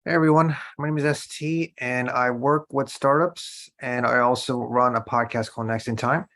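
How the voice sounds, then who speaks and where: A man's voice: mid-range voice, fairly even intonation, normal speed, fairly bright tone. A man, an event organizer and podcast host, introducing himself casually and loosely, filling gaps with "you know" and "like". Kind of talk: self-introduction at an online event.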